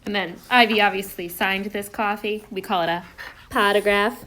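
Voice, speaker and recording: A woman's voice: mid-range voice, steady tone, normal speed, pushed and bright. A woman co-hosting a live story time, chatting casually to viewers. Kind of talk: casual chat to a live audience. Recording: clear background noise.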